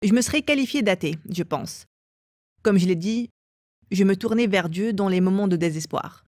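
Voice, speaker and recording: A woman's voice: medium pitch, normal speed, a little mellow, dry recording, clean studio sound. A woman from England telling her own life story as an interview guest. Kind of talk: personal testimony in an interview.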